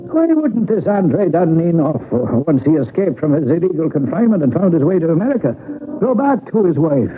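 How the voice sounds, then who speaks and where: Animated high-voiced Irish priest. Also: male voice, fairly quick, a little hiss or hum. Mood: softened, kindly. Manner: emphatic, folksy, old-fashioned turns of phrase. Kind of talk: old-time radio drama acting. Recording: tinny band-limited vintage radio broadcast.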